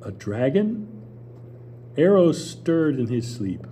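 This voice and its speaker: An older man narrates a play reading with measured storytelling, reading from a table on a small theater stage. His voice is warm and dark, with lively rises and falls in pitch. A table mic picks him up, with a faint hum behind it. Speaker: stage reader.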